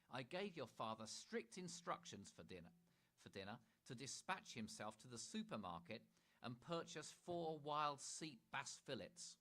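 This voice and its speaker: A man's voice: medium pitch, pushed and bright, voice pushed up, exaggerated voice. A man voicing a snobbish, bossy middle-aged mother who speaks with prim, imperious hauteur.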